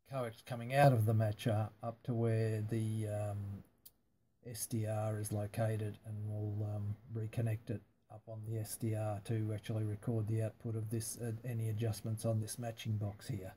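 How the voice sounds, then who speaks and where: A man talking casually and haltingly through a hands-on technical demonstration, unscripted and matter-of-fact. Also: lowish voice, completely flat delivery, dark tone, a little hiss or hum. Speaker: amateur radio hobbyist demonstrating antenna tuning.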